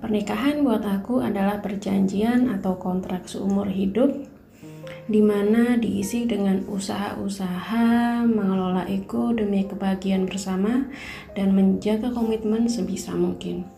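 A woman's voice: mid-range voice, steady tone, a little slow, creaky, dark tone. A woman, giving a prepared personal testimony. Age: 30s. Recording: roomy sound, faint music.

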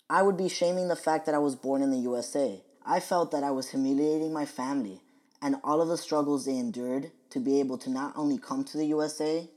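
A young adult man from the United States hosting a podcast, reading his scripted personal monologue in a measured, earnest way. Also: mid-range voice, a little mellow. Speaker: podcast host.

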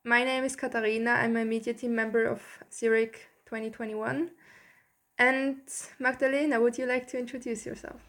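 A woman's voice: fairly high pitch, level tone, normal speed, fairly bright tone. A woman hosting a podcast, putting prepared interview questions to a guest.

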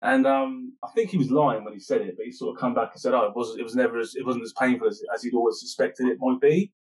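A man's voice: medium pitch, fast talker, low-quality recording. British man chatting loosely as interview guest. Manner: loose, rambling, self-correcting explanations. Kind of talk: casual interview chat.

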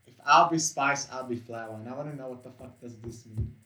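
A man's voice: mid-range voice, a little fast, sharp tone, distant mic, slight background noise. An eighteen-year-old man in casual, laid-back banter, swearing freely as he reads profiles aloud. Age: young adult. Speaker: co-host reading dating profiles. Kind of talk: casual chat. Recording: echoey room.

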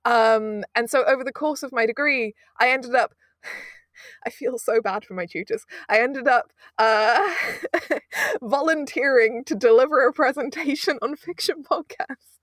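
Lively young British woman, dry close sound. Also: high voice, a little slow, no echo. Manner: animated, self-mocking, laughs often. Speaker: audio drama producer, guest. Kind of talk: casual interview storytelling.

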